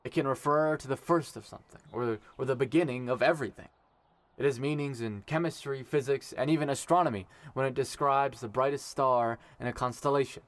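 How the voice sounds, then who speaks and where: A man playing an earnest young male ruler in an audio drama, speaking in a measured, sincere way. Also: medium pitch, no echo, close to an everyday voice. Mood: solemn, warm.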